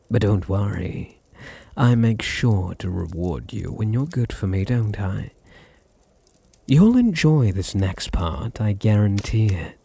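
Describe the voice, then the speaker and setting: A British man acting a seductive, domineering vampire master in an ASMR roleplay, breathy and often near a whisper, with purring, condescending endearments. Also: fairly low pitch, mellow. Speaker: audio roleplay voice actor.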